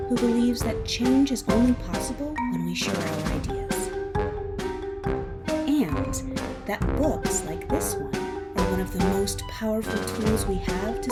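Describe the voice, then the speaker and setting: A woman narrates a children's storybook with expressive drama. Her delivery is unhurried, with a medium-pitched voice and music running behind it.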